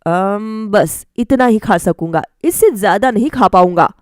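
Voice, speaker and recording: A woman voicing a reluctant but affectionate young husband in a storybook, hesitant and gently refusing. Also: medium pitch, lively intonation, a little slow, no room sound, studio quality, natural voice.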